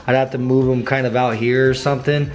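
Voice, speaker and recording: A young man with a slightly hoarse voice, walking through a car build in a casual vlog and tossing in dude and bro. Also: medium pitch, little pitch movement, fairly quick. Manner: laid-back slangy, says dude and bro. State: slightly hoarse, losing his voice.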